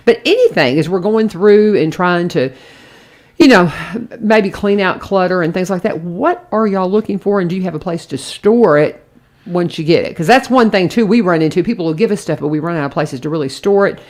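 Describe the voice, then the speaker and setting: A chatty woman hosts a radio talk segment. Her voice sits a little low for a woman, and she rambles cheerfully, restarting her sentences as she goes. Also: lively intonation, fairly quick. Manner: chatty, warm-hearted rambling with many restarts. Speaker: radio show host. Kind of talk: casual radio interview chat.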